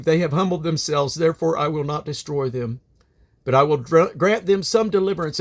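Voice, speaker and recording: A man reading the Bible aloud as a reader, phrasing deliberately and now and then stumbling over names. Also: on the high side.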